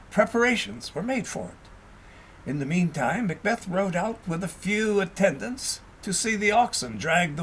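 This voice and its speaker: A man reading a historical tale aloud as an audiobook narrator, in a measured, expressive storytelling manner. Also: high-pitched, a little hiss or hum, poor audio.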